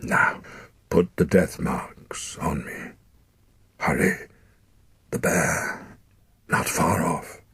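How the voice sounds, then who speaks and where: A dying father, grave and insistent, pushing his words out in a breathy whisper, as a man reading a storybook puts him on. His voice is low, slow and husky, close to the ear in a dry space. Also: a bit bright, heavily acted.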